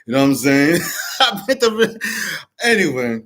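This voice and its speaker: A man's voice: fairly high pitch, big pitch swings, unhurried, fairly bright tone. A man hosting his vlog, talking through a casual motivational monologue, rambling and restarting often. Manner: rambling, repetitive, frequent restarts. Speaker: vlog host.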